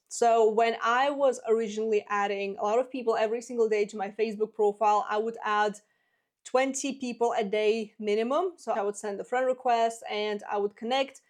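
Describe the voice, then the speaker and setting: A woman's voice: on the high side, a little mellow. A woman in her twenties whose first language is Russian, hosting a solo business advice show in a direct, practical way. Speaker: business podcast host.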